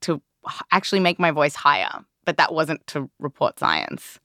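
A woman hosting a podcast, chatting casually with other hosts in a recording studio in a lively, joking way. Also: low-pitched, normal speed, no room sound. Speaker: podcast host. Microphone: studio microphone.

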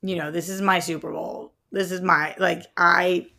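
A woman's voice: on the low side, steady tone, normal speed, vocal fry, pushed and bright. A woman co-hosting a podcast, chatting casually about films with an emphatic, enthusiastic fan's way of talking. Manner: emphatic, enthusiastic fan talk. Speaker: podcast co-host. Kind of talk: casual film discussion chat.

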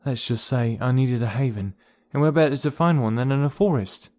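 A man's voice: mid-range voice, a little fast, a little mellow, dry recording, lightly acted. A man voicing an earnest, urgent young woman who leads a forest community. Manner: raised, earnest and pleading delivery.

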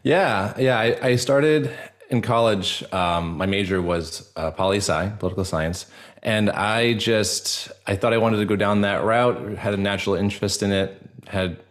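A man speaking as a podcast guest, casually telling his own story in an informal, halting, thinking-aloud way. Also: fairly low pitch.

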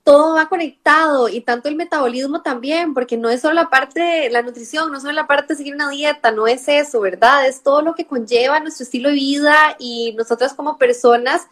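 A woman's voice: high voice, brisk, few pauses, a bit bright. A woman with a Costa Rican accent hosting a casual interview, chatty and full of fillers and tag questions. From Costa Rica. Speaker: podcast host.

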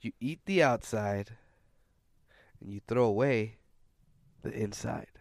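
Stuffy young man with a smooth voice. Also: lowish voice, slow pace, dry recording, studio quality. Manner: playful, teasing, drops Spanish words. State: stuffy, sick. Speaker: podcast co-host. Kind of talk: casual chat.

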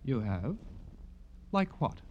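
A man's voice: very animated, takes it slowly, a little mellow, highs cut off. An actor playing a touchy, intense, brittle male writer in old radio drama style. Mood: guarded, bitter. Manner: brittle, high-strung. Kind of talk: 1940s-50s radio drama acting.

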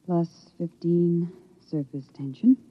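An actress playing a cool, emotionally repressed scientist in an old radio drama, speaking in a formal, clinical, controlled manner. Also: female voice, lowish voice, steady tone, slow pace, slight background noise. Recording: dull lo-fi old radio recording.

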